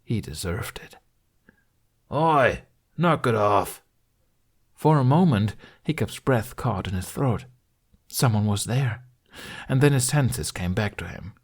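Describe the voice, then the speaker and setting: A man narrates an audiobook story in a fairly low voice. His delivery is dramatic, scripted fiction storytelling. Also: lively intonation, measured pace, a little mellow, breathy edge.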